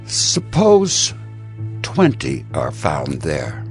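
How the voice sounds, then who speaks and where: A man's voice: a bass voice, wildly swooping pitch, very slow, soft background music, exaggerated voice. A man playing a humble old biblical patriarch, beseeching and deferential in a dramatized scripture reading. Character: humble old patriarch Abraham, reverently bargaining with God.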